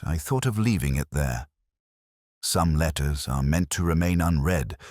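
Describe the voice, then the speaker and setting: A man narrating a detective story in the first person, in a measured, reflective, literary way. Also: extremely low pitch, no room sound, clean studio sound.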